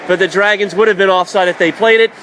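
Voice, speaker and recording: A man doing live radio play-by-play of a hockey game in clipped, excitable calls. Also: sits high, fast. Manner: excitable on shots, clipped present-tense calls. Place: indoor ice hockey arena. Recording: loud rink noise behind the voice.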